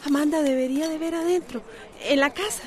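A woman's voice: sits high, a little fast, a little mellow, slight background noise, natural voice. An actress playing a peacemaking mother in a radio soap, with a Costa Rican accent and a pleading, placating manner. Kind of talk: radio soap opera acting.

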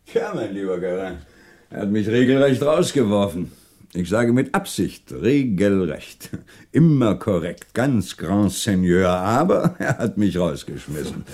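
Composed low-voiced police commissioner. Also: male voice, sing-song, darkish tone, no room sound, clean studio sound, exaggerated voice. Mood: wry, relaxed. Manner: polite, probing, unhurried. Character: composed, wry middle-aged male French police commissioner. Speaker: police commissioner in a crime radio play. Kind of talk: 1960s German radio drama acting.

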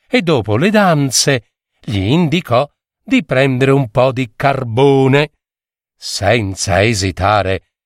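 A man narrating a fairy tale from a script with expressive, theatrical delivery. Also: mid-range voice, no echo, clean studio sound. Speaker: storyteller.

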